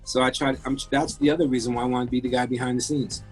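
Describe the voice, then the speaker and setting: A man's voice: mid-range voice, flat delivery, very fast, soft background music, rough recording. A man in his fifties, born in France, telling his career story as a guest in a casual interview, candid and rambling with many restarts. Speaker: guest, music engineer.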